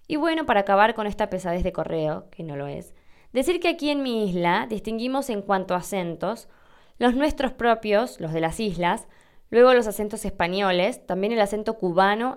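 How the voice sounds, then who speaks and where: A woman with a mid-range voice that is smooth and resonant. She hosts a podcast, reading listener mail aloud and introducing recordings in a clear, measured way. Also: medium pitch. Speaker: podcast host.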